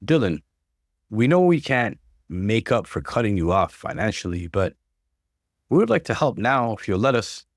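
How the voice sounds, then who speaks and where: A man's voice: lowish voice, very animated, moderate pace, dry recording. Loving, apologetic middle-aged mom for story narration, voiced by a man, lilting delivery, dry recording. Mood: hesitant.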